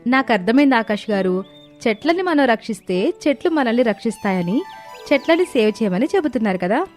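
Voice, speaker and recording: A woman voicing an earnest, expressive young sister in a dramatized cartoon moral story. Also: on the high side, a little fast, close to an everyday voice. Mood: pleased. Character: young sisters, eager amateur video makers. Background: background music.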